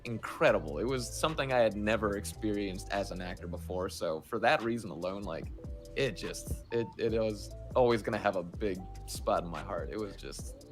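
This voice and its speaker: Animated man chatting over music. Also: lowish voice, normal speed. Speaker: voice actor, interview guest. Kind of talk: casual interview chat. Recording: music playing behind the voice.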